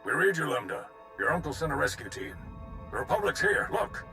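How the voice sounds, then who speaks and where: A man voicing a brisk, dependable soldier in a video game, in clipped military radio talk. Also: fairly high pitch, very animated. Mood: alert. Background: game music.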